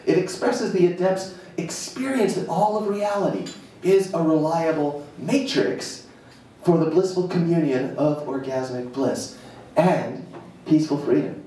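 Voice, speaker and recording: A man giving a theatrical live spoken-word reading of a monologue, with emphatic dramatic pauses and a playful manner. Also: fairly high pitch, dark tone. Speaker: reader performing a written monologue. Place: indoor jazz club stage, live event. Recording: very echoey live venue, distant mic, noisy.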